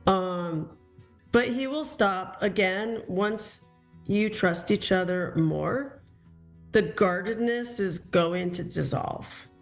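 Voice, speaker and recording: A woman gives a live psychic reading, reassuring and groping for the right words. She speaks very slowly and carefully. Soft music plays faintly behind her. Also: medium pitch. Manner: slow, reassuring, searching for words. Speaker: psychic reader.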